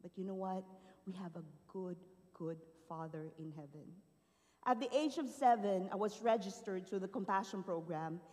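A woman's voice: mid-range voice, moderate pace, a little mellow. A woman from the Philippines giving an earnest, emotional personal testimony to a church congregation. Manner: earnest, emotional storytelling with rising and falling emphasis. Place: large church auditorium. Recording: long hall reverb.

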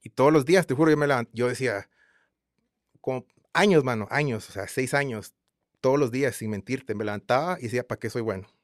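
A man in his thirties from Guatemala with a Guatemalan Spanish accent, chatting as an interview guest in a relaxed, rambling way full of fillers. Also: medium pitch, brisk, no echo, clean studio sound. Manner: relaxed rambling with many fillers and repeated tags. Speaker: guest singer. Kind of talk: casual interview chat.

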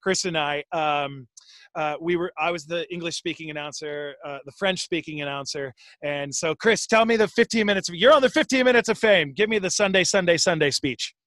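A man hosting a show, talking casually and telling a story in a chatty, animated way. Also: a little high, a little fast, no echo. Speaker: show host. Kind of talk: casual video call interview.

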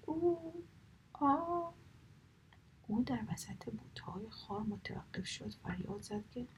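A woman narrating a children's story entirely in a soft whisper. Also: mid-range voice, gravelly, a little hiss or hum, poor audio. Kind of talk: whispered bedtime story, ASMR.

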